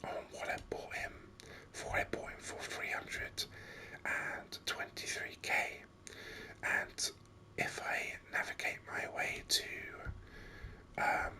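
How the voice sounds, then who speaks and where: A gaming host speaking in an unvoiced whisper, giving unhurried, rambling ASMR game commentary.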